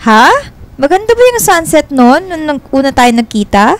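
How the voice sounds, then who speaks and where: High-voiced, coy young Filipina girlfriend. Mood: playful. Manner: coy, expressive, drawn-out endearments. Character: sweet young girlfriend, playful, bashful, openly affectionate. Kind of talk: Philippine radio romance drama.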